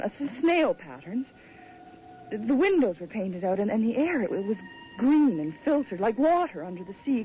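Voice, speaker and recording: A woman's voice: on the high side, dark tone. An actress playing a sensitive, imaginative young woman writer in a radio drama, with an uneasy manner. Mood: uneasy, awed. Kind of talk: radio drama acting with first-person narration. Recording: muffled, noisy old radio drama recording.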